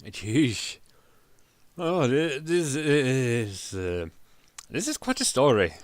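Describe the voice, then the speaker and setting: A man giving a dramatic reading of a story, halting and stumbling over words as he narrates. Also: medium pitch, very animated, dry recording. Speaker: narrator, streamer.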